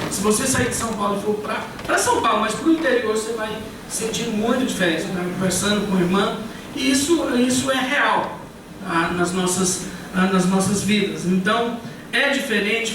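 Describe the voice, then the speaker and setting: Casual preacher from Goiás with the accent, highish voice, heavy church echo, sounds far from the mic. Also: male voice. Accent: Goiás Brazilian Portuguese. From Goiás, Brazil. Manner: relaxed, conversational, many fillers and tag questions. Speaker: guest pastor and missionary. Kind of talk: church sermon. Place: church hall. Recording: echoey church hall, picked up from afar, noisy.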